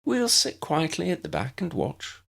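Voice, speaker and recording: A man's voice: fairly high pitch, wildly swooping pitch, measured pace, gravelly, a bit bright, pitched above the performer's own voice, exaggerated voice. A man voicing a cheerful, confident teenage schoolgirl prefect in an audiobook, friendly and chatty. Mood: polite, eager.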